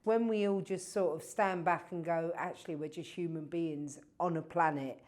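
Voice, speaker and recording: A woman's voice: fairly low pitch, fairly even intonation, normal speed, a little mellow. A woman from London with a London accent, co-hosting a casual podcast chat in a reflective, agreeable way. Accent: London English. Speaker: podcast co-host.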